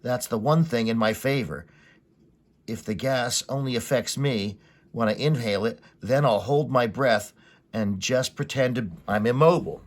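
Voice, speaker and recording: A man's voice: mid-range voice, normal speed, natural voice. A man voicing a panicked, desperate human explorer from a comic story, with dramatic, urgent declarations. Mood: tense, scheming.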